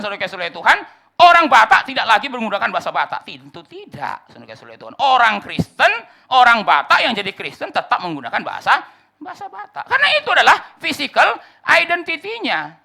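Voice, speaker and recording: A male pastor preaching during a church service, his voice bright and forceful, with a gravelly edge. His pitch moves in lively leaps and dips. He keeps calling out to the congregation, alternating strong, emphatic declarations with soft, low asides. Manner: repetitive direct address to the congregation. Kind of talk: expository sermon.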